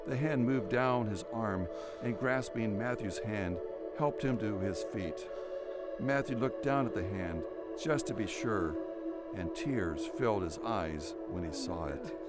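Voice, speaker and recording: A man's voice: on the low side, a little slow. A man narrating an audio drama, reading a scripted story in a dramatic storytelling style. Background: music.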